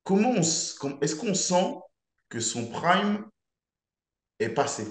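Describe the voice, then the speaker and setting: A man's voice: high-pitched, sing-song, slow. A man in his thirties hosting an interview over a video call, asking probing, conversational questions. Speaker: interview host. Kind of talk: casual interview. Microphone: video call webcam. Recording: roomy webcam audio.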